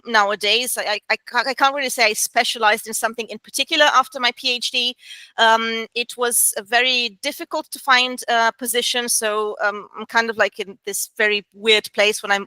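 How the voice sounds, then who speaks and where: A woman in her thirties with a Romanian accent speaks as an interview guest, pausing often on fillers and laughing lightly. Her voice sits a little high and sounds bright. The sound is dry and close. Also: clean tone, no room sound. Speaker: guest historian. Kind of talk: interview answers.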